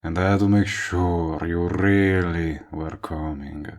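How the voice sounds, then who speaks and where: A man's voice: low-pitched, fairly even intonation, slow pace. A man playing a cocky, lovestruck villain in an audio roleplay, teasing and coaxing the listener he is wooing. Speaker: voice actor. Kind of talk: intimate audio roleplay acting addressed to the listener.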